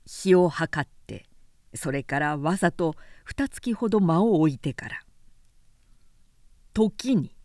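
A woman reading a historical novel aloud as a narrator, in a measured, deliberate storytelling manner. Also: sits low, expressive, a little mellow, no room sound, clean studio sound. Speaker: narrator, literary reader.

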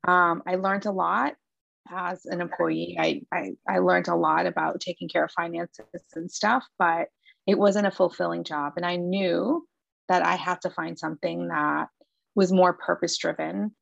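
A woman's voice: fairly low pitch, fairly even intonation, moderate pace, gravelly, fairly bright tone, no echo, highs cut off. A woman in her thirties from Lebanon, a guest telling her story in a casual interview, earnest, with frequent restarts and fillers. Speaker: guest, entrepreneur.

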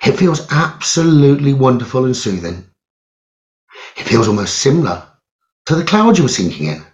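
A man leading a guided meditation in a soothing, measured way, leaving long pauses between phrases. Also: mid-range voice, sing-song. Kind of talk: slow guided meditation narration. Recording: roomy echo, dull lo-fi sound.

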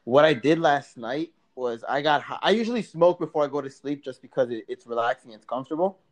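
A man's voice: medium pitch, expressive, fairly quick. Chill dude on a podcast call with his buddy, laughs a lot, swears casually. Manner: laid-back, laughs often, swears casually. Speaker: podcast co-host. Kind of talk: casual chat between friends. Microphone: remote call mic.

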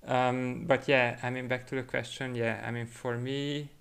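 A man from Hungary with a Hungarian accent, chatting reflectively as a podcast guest and thinking aloud in a halting way. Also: medium pitch, little pitch movement, gravelly, a bit bright, clear, resonant tone. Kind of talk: casual reflective chat.

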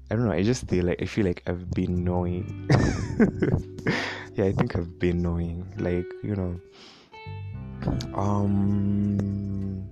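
A young Kenyan man with a deep voice and a Kenyan accent, chatting casually on his own podcast, rambling with many fillers and frequent laughs. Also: mellow. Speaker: podcast host. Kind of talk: casual solo podcast chat.

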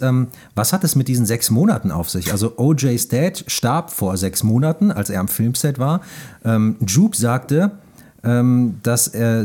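A man's voice: mid-range voice, mellow, husky. A man in a casual film podcast discussion, phrasing his points thoughtfully and with hesitation.

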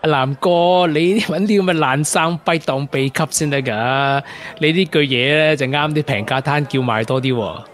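A man's voice: a little high, normal speed, fairly bright tone, lightly acted. An actor playing a sardonic man with romance woes in a Cantonese radio drama, with a Hong Kong accent. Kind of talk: radio drama acting, bar banter.

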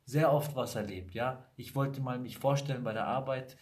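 A man's voice: mid-range voice, normal speed. Blunt, emphatic man telling stories. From Italy. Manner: emphatic, blunt, animated storytelling. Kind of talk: casual conversation.

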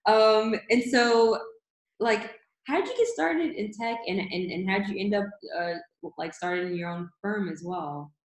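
Excitable woman host, says like a lot, kinda echoey room. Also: medium pitch, unhurried. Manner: enthusiastic, many "like" fillers. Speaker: interviewer, podcast host. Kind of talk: casual interview talk.

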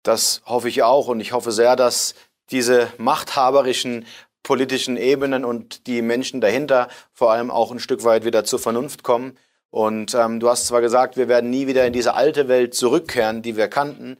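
A man's voice: mid-range voice, level tone, normal speed. Earnest man asking long questions. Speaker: interviewer. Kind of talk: conversational interview.

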